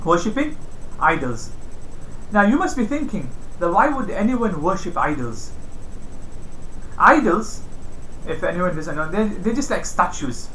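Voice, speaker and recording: Male religious storyteller for an informal lesson. Mid-pitched, explanatory, echoey room with faint noise. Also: sing-song, measured pace, pauses often, a bit bright. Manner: explanatory, repeats phrases for emphasis. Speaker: storyteller and religious teacher hosting a series. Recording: roomy echo, faint background noise.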